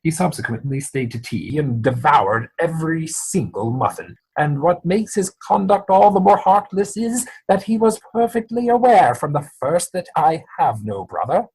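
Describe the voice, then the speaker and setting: A man playing a stubborn, indignant English gentleman guardian in a comic play reading, speaking formally and emphatically. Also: on the high side, slightly rough, heavily acted, low-quality recording.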